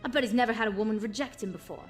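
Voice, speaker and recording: Comic dub role: defiant young woman, wary and indignant inner voice, played by an actress, mid pitch, light music underneath. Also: racing, lightly acted. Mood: indignant, scornful.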